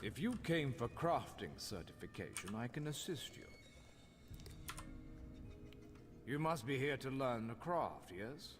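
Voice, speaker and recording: An actor voicing a haughty, scholarly master craftsman in a fantasy video game, speaking in a formal, measured, instructional way. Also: medium pitch, very animated, natural voice. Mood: businesslike, haughty. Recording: long hall reverb, faint music behind.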